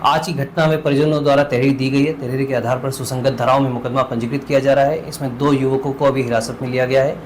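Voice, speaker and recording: A man speaks in a flat, monotone voice, delivering a formal police statement with frequent pauses. Faint noise hangs behind him. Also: medium pitch. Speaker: police officer giving a statement. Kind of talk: official statement.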